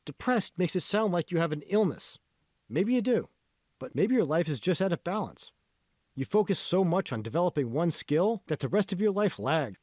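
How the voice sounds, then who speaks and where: An earnest mentor played by a man lectures with emphatic, instructive force. His pitch moves in lively swings, in a close, dry audiobook recording. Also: normal speed, no echo. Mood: earnest, encouraging.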